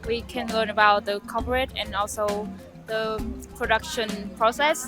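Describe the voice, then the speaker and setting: A woman's voice: on the high side, fairly even intonation, a little fast. A woman from Vietnam giving casual feedback as a visitor in an interview. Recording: clear music behind the voice.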